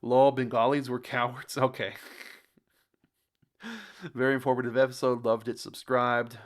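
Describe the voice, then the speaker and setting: A man with an American accent hosting a reaction video in casual commentary, a bit halting and stumbling over words as he reads aloud. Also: mid-range voice, level tone. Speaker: host of a reaction channel.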